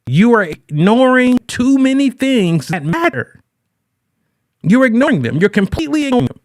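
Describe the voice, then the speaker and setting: A man hosting a podcast, talking casually as a relationship coach, animated and often restarting his phrases. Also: extremely high pitch, moderate pace, dry recording, clean studio sound. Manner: animated, quotes people in raised voices. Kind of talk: casual monologue.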